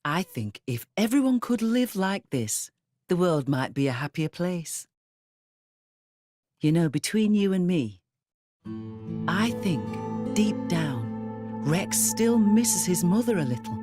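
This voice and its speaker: Kindly older village auntie in a game, deep voice, lilting up and down, folksy, motherly. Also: big pitch swings, slow, pauses often. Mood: wistful. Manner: motherly, gently confiding, folksy. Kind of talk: video game cutscene acting. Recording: music behind the voice. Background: loud instrumental music.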